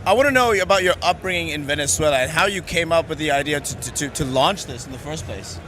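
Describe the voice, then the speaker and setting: A man's voice: fairly high pitch, fast, noisy background. A man hosting a casual interview at an event, enthusiastic and reacting with short exclamations. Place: outdoors at a busy conference.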